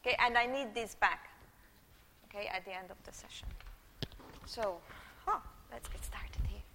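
Bright-voiced Hungarian woman lecturing in a hall. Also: medium pitch, normal speed, creaky, a little hiss or hum. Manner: chatty, checks in with the audience often. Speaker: university lecturer. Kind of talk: live university lecture. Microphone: room microphone. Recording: long hall reverb.

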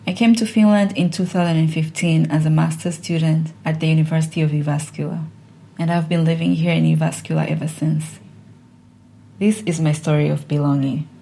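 A woman, telling a personal story in an earnest, measured way. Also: deep voice, fairly even intonation, gravelly, mellow. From Tanzania.

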